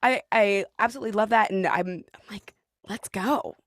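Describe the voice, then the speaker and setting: A woman hosting an interview show, reacting with loud, expressive exclamations. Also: mid-range voice, moderate pace, no echo, studio quality. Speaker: show host. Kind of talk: casual interview chat.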